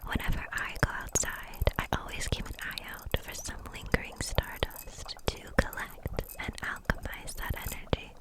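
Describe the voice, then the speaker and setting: A woman playing a gentle, kindly kitchen witch in an ASMR roleplay, whispering softly in a soothing, encouraging way. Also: normal speed, few pauses, natural voice. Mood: tender, hopeful. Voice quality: breathy unvoiced whisper.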